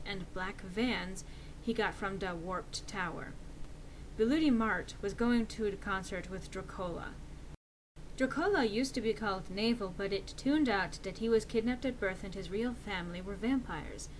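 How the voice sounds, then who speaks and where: A woman's voice: medium pitch, a little hiss or hum, rough recording. A woman reading fan fiction aloud as a dramatic, mock-serious narration with theatrical emphasis.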